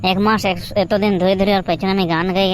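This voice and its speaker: A woman's voice: medium pitch, steady tone, fast, a little hiss or hum, natural voice. A woman voicing a crude, lovelorn young village shopkeeper who grumbles and pleads in a comedy cartoon. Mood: rueful, determined.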